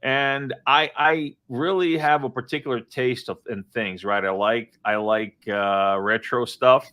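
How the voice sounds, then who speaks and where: Casual male speaker in a home office, rambling, lots of fillers and restarts, mid pitch. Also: steady tone, unhurried, bright, forceful tone. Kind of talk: casual live-stream chat.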